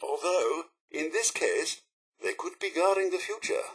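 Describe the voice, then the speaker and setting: An actor playing a fussy, loyal, polite robot butler in a video game, with a British accent and a prim, formal, theatrical manner. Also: male voice, on the high side, lively intonation, measured pace, a bit bright, slightly rough, full character voice. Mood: fretful, wry. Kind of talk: video game character voice lines.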